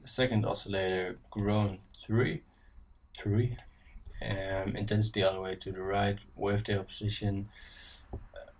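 Dutch man with a low, casual voice. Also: flat delivery, darkish tone, distant mic. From Netherlands. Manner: casual, halting, many ums and restarts. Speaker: synth tutorial presenter. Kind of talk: screen-recorded software tutorial. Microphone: voice-over on screen recording.

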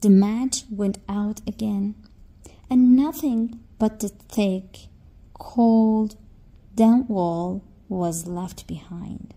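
Slow, mellow woman storyteller. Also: medium pitch. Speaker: children's storyteller. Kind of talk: scripted fairy-tale narration for children.

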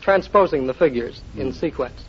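A man's voice: medium pitch, animated, normal speed. A man from New York answering questions as a contestant on a 1950s radio quiz show. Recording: muffled old radio recording with noise.

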